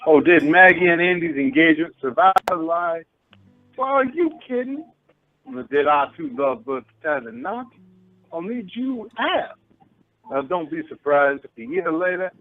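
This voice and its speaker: A man plays a nosy, chatty storyteller in a radio play, on tinny audio. His voice is very high for a man and swoops theatrically, full of exclamations. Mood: chummy. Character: chatty, gossipy male park-bench narrator. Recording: tinny narrow-band audio, faint music.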